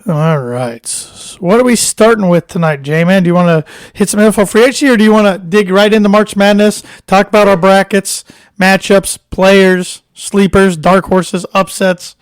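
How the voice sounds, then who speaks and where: Higher-voiced man co-hosting, dry sound. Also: smooth, dry recording. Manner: relaxed, conversational, reads trivia questions slowly. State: slightly hoarse. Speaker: co-host of a sports podcast. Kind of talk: casual sports chat.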